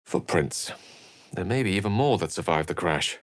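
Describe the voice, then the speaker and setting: A learned, self-possessed wizard from a fantasy video game. His voice sits a little low in a dry, studio-clean space. He shapes ornate phrases with care, theatrical flair and dry wit. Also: animated, normal speed, dry recording. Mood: thoughtful. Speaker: voice actor in a fantasy role-playing game. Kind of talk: theatrical game dialogue in short separate lines.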